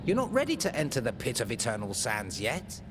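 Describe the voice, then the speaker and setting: A man's voice: medium pitch, wildly swooping pitch, a little fast, background noise. An actor playing an eccentric male seer in a video game, speaking theatrically in whimsical, lilting riddles. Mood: cryptic, theatrical.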